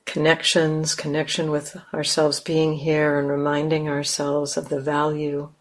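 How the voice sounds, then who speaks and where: A woman teacher leading a guided meditation in a gentle, unhurried manner, leaving long pauses between phrases. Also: deep voice, monotone.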